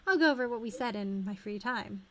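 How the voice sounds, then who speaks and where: A woman voicing an earnest, pensive young hero from a fantasy game in a soft, thoughtful manner. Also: a little high, lively intonation, fairly quick, pitched below the performer's own voice. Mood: earnest, reflective. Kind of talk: reading game dialogue aloud. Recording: game music behind the voice.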